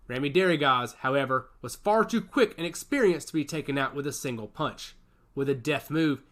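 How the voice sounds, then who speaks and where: A man's voice: fairly high pitch, bright, forceful tone. A man reading a science fiction action story aloud as its narrator, with a dramatic storytelling delivery.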